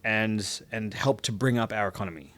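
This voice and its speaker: A man's voice: fairly low pitch, a little fast, fairly bright tone. A man from Melbourne with an Australian accent, co-hosting a podcast in an informal, agreeable, conversational way. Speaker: podcast co-host. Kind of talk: casual unscripted podcast discussion.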